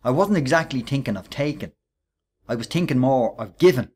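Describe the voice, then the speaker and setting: Man acting a husky bullying Irish workman. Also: mid-range voice, animated, a little fast, darkish tone, lightly acted. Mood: mocking, commanding. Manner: taunting, sarcastic, bossy. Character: bullying, scheming Irish workman, menacing ringleader. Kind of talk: radio play acting.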